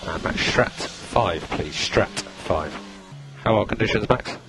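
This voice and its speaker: A calm male race engineer giving clipped, procedural instructions over team radio, repeating key points. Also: low-pitched, takes it slowly, music in the background. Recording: noisy radio link, engine noise.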